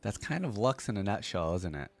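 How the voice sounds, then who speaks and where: A man's voice: fairly low pitch, big pitch swings, a little fast, noisy background. A man hosting a reaction video, commenting casually and musing aloud in an informal way. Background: faint music.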